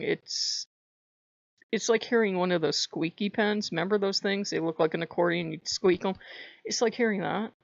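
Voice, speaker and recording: Woman with a high voice, talks to camera casual and rambly, laughs a lot, bit of fry, dry close sound. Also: dry recording, dull audio. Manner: chatty, rambling, laughs often. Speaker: spiritual vlogger.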